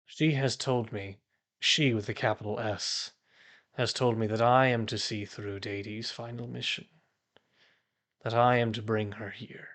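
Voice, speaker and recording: A man's voice: on the low side, fairly even intonation, unhurried, fairly bright tone. A man voicing an imperious, threatening cult leader with a pompous, formal way of speaking in a tabletop game. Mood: grandiose. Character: imperious, threatening male cult leader claiming divine will.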